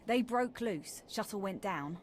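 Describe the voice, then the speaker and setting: A woman's voice: medium pitch, animated, unhurried, slight background noise, close to an everyday voice. An actress playing a wry, battle-hardened female major in a video game, with dry, informal, clipped delivery. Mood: dry, sardonic. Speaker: military officer character in a video game. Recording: loud game music behind. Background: loud music.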